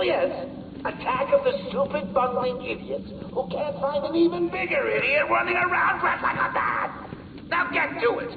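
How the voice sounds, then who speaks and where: A crazy cackling clown villain, high voice jumping all over, slow drawn-out taunts, tinny intercom sound. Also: male voice, gravelly, echoey room, background noise, exaggerated voice. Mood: sneering. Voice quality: theatrical, cackling, swings between sing-song and menacing. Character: manic cackling male clown villain, gleeful and cruel. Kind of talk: video game voice acting.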